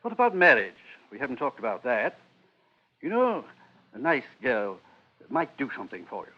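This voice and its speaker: An actor playing a busy, patronising older English gentleman father in a radio drama, with a brisk British delivery. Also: medium pitch, sing-song, slightly rough. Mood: brisk, paternal. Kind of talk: 1940s-50s radio drama acting. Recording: dull old radio broadcast.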